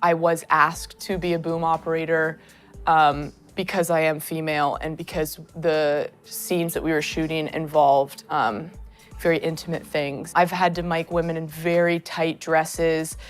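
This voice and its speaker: A woman boom operator speaking in a documentary interview, measured and thoughtful, with frequent pauses. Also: level tone, soft background music.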